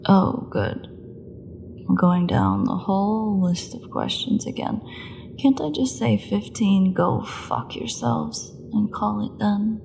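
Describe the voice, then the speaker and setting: Gravelly, sarcastic captive vampire woman, acted by a woman. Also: medium pitch, expressive, slow, darkish tone, a little hiss or hum, exaggerated voice. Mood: defiant sarcasm. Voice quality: gravelly, creaky voice. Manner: snarky, mocking, bitterly flippant. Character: defiant, sarcastic captive female vampire under interrogation. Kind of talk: dramatic audio roleplay monologue.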